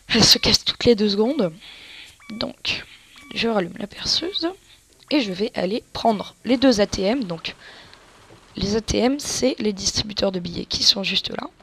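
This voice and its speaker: A woman's voice: mid-range voice, a bit bright, breathy edge. A woman with a cold giving casual, crude, joking gameplay commentary and explaining tactics to viewers as a let's play gamer. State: has a cold.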